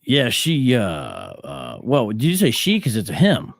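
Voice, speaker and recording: A man's voice: fairly low pitch, wildly swooping pitch, measured pace, vocal fry, dry recording, studio quality. A man co-hosting a casual podcast discussion, talking skeptically and thinking aloud with frequent restarts. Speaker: podcast co-host.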